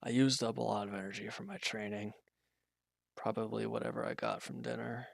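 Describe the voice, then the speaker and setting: A man playing an injured, weary villain in a roleplay audio drama, guarded and quietly considerate, speaking in halting, hesitant lines. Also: steady tone, creaky, no room sound, studio quality. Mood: weary, reluctant. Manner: hesitant, halting, low-key, grudging. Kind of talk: roleplay audio drama, intimate one-sided dialogue.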